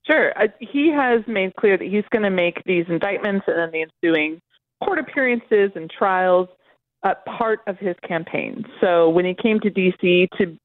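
A woman speaking as a political reporter in a live interview, explaining in a halting, self-correcting way. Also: medium pitch, dry recording. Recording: narrow, lo-fi phone line.

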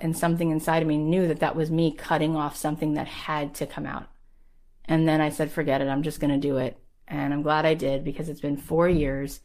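A woman's voice: deep voice, darkish tone. A woman podcast host and coach giving a motivational talk with personal anecdotes, emphatic and encouraging, repeating phrases for effect.

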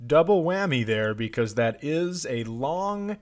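A man's voice: a little high, very animated, unhurried. A man hosting a comedy podcast, chatting casually with sarcastic, profane riffing. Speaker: podcast host.

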